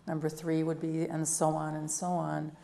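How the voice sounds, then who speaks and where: Gravelly, low-voiced woman explaining procedure. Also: monotone, normal speed, warm tone. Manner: practical, matter-of-fact explaining with frequent "um". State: voice deeper than usual today. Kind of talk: explaining procedure at a public meeting. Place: council meeting room.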